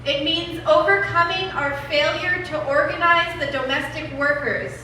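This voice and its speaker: A woman giving a dramatic reading of a political essay with emphatic, deliberate phrasing. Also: high-pitched, steady tone, pushed and bright. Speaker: reader at a rally event. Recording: echoey hall from far off, faint noise.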